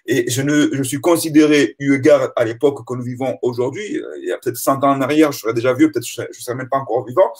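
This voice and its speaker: A man's voice: mid-range voice, fairly even intonation, racing, hardly any pauses, a little mellow. A man in his forties interviewing a doctor, asking long winding questions while searching for his words. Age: 40s.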